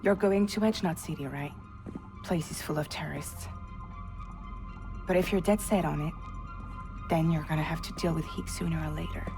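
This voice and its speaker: A woman playing a weary, guarded courier with wry defiance, speaking in clipped, deliberate phrases in a naturalistic video game drama. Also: sits low. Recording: game audio under prominent music.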